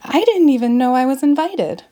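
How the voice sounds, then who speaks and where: A woman reader acts out a young storybook girl, polite and earnest. Her voice sits high and moves about expressively as she gently makes her case. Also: expressive, a little fast. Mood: timid, apologetic.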